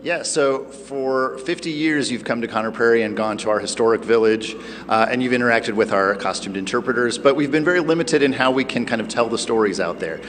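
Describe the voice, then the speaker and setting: An echoey indoor space with faint ambient noise surrounds a man of medium pitch. He explains an attraction as its representative in an on-location television interview. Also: a little fast, a bit bright. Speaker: museum representative being interviewed. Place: inside a new museum exhibit space.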